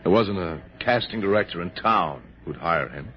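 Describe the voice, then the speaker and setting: A deep-voiced, self-assured movie star. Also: male voice, animated, normal speed. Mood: reassuring. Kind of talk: 1960s radio drama acting. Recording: muffled, hissy old radio broadcast.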